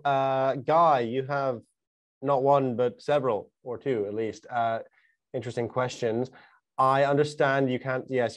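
A man in his thirties hosts a discussion in a medium-pitched voice, picked up by a lapel mic. He moves through questions informally, with stops and small self-corrections. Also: darkish tone. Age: 30s. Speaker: host. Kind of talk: casual moderating of questions. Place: indoor studio.

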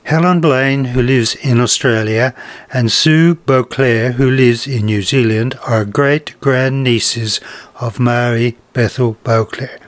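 Slow-paced male narrator, dry sound. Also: mid-range voice, steady tone, no echo. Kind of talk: scripted narration.

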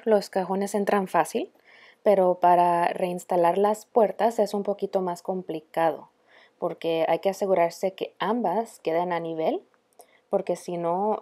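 Female DIY vlogger, 30s, relaxed low voice, informal narration with English borrowings. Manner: casual, mixes in English words. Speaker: DIY home vlog host. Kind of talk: casual narration of a DIY makeover.

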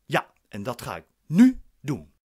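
A man's voice: mid-range voice, huge pitch swings, measured pace, warm tone, slightly rough, exaggerated voice. Brusque, proud man, swinging intonation. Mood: impatient. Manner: curt, formal. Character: proud, impatient accused man, brusque and skeptical. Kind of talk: radio drama acting in Dutch.

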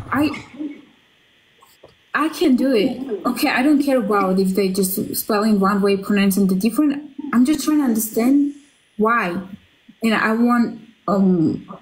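A woman's voice: mid-range voice, a little mellow, low-quality recording. A woman in her twenties, raised in Russia, hosting a casual livestream from a small bedroom through her phone, chatty and rambling with lots of fillers and casual swearing. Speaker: livestream host. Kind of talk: casual live chat. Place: small bedroom with colored lights. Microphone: phone front camera.

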